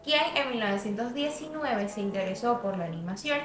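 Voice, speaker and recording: A woman's voice: on the high side, expressive, a little fast, fairly bright tone. A young woman reading a scripted documentary narration as a student narrator. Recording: roomy voice-over with music bed.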